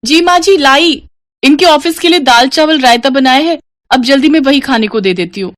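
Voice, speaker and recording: A woman's voice: high voice, normal speed, a bit bright, dry recording, pitched above the performer's own voice. Casting: woman as overworked young housewife, obliging and harried, cartoon morality story, close dry sound. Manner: eager to please, harried. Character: dutiful, overworked young daughter-in-law and mother.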